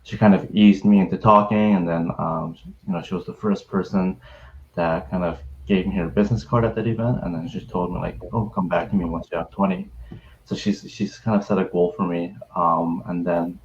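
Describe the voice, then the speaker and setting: A man's voice: sits low, steady tone, a little fast, lo-fi sound, a little hiss or hum. A young man from Canada in his twenties, a student telling a story on a video call, hesitant with many fillers and restarts. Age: 20s. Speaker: university student panelist. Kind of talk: casual storytelling on a webinar.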